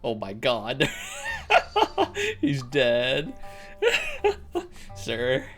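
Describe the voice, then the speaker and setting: A man's voice: mid-range voice, wildly swooping pitch, extremely slow, fairly bright tone. A man giving casual live gameplay commentary as a streamer, reacting wryly with exclamations. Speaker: game streamer. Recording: game music under the voice. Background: game music with plucked strings.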